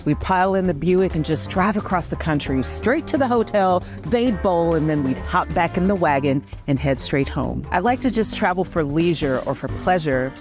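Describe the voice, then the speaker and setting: A woman's voice: on the low side, expressive, normal speed, hardly any pauses. A woman in her thirties co-hosting a travel show, bubbly and exclamatory in playful banter. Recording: music under the voice.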